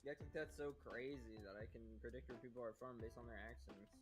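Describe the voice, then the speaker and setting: A man's voice: medium pitch, quick pace, over music. Jokey young man on voice chat. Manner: mocking, jokey, laughs a lot. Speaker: gaming friend. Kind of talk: casual gaming voice chat.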